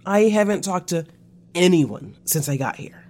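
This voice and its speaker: Slow-talking, remorseful confessed spy. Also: female voice, fairly low pitch, expressive, a little mellow. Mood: halting, confessing. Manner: code-switches between English and Spanish. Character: remorseful confessing former spy, slangy and earnest. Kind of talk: naturalistic audio drama acting.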